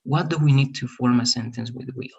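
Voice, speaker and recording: Husky teacher on a call. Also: male voice, mid-range voice, brisk, darkish tone, highs cut off. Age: 30s. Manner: patient, explains step by step, many "all right?" checks. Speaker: English teacher. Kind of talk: online grammar lesson.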